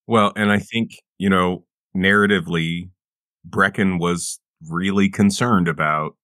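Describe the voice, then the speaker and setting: A man's voice: low-pitched, fairly even intonation, unhurried, bright, forceful tone, dry recording, studio quality. A man playing a tabletop game, chatting casually about the group's moves. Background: background music.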